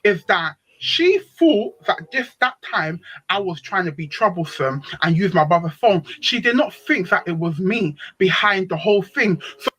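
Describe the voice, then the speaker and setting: A young woman with a deep voice, telling a story on a live panel stream in a slangy, dramatic way. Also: expressive, normal speed, hardly any pauses, a bit bright, husky, low-quality recording. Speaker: panel speaker.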